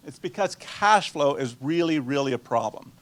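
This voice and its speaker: Guatemala-born guy in his 60s, spanish first language, running a finance seminar, bit higher voice, chatty, quizzes the room. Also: animated, normal speed. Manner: chatty, quizzes the audience and drops dry jokes. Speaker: nonprofit finance presenter. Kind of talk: conversational seminar talk to a live audience.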